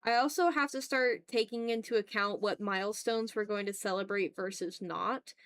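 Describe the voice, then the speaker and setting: A woman's voice: mid-range voice, normal speed. A woman hosting a livestream, chatting informally and expressively straight to her viewers. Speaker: art livestream host. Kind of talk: casual livestream chat, reading and answering viewer messages.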